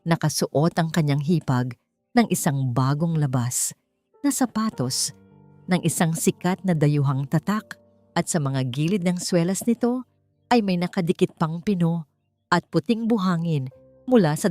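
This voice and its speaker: A woman with a Filipino accent narrating a scripted dramatic story in a solemn, emphatic, deliberate way. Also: deep voice, lively intonation, dark tone, no echo. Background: background music.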